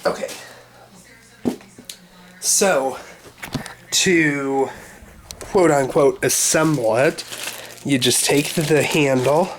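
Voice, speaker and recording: A man with a stuffy head cold speaks slowly and haltingly, thinking aloud as he unpacks something. His voice sits at a middling pitch. The home camera recording is noisy, with clicks, and he often drifts away from the mic. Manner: halting, thinking aloud, many pauses and fillers. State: congested with a cold. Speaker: vacuum hobbyist doing an unboxing. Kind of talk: casual unscripted unboxing.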